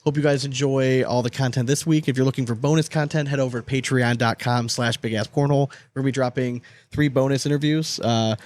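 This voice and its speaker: A man's voice: medium pitch, fairly even intonation, fast, few pauses, a little mellow. A man co-hosting a casual sports podcast, chatting in an informal, jokey, slangy way. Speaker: podcast co-host.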